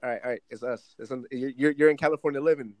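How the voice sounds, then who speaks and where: A man hosting a casual podcast. He rambles with many fillers, then trades loud jokes and drops Spanish into his talk. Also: mid-range voice, steady tone, fast talker. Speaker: podcast host.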